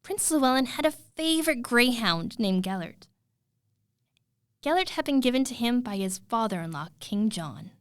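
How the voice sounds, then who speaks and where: A woman retelling a fairy tale as a storyteller, in a dramatic, expressive way with suspenseful pauses. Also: on the high side, no echo, studio quality.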